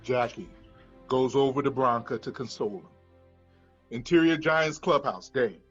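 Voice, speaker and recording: A man's voice: medium pitch, normal speed, highs cut off, faint music behind. A man narrating scripted stage directions at a screenplay table read.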